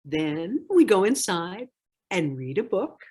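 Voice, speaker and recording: A woman reading a picture book aloud expressively, with deliberate, phrase-by-phrase delivery. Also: lowish voice, big pitch swings, darkish tone, breathy edge. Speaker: storybook reader.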